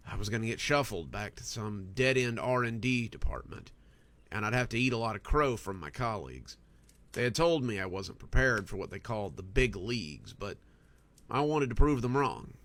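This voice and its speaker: A man reading a scripted first-person story as a narrator, with an expressive storytelling delivery. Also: lowish voice, normal speed.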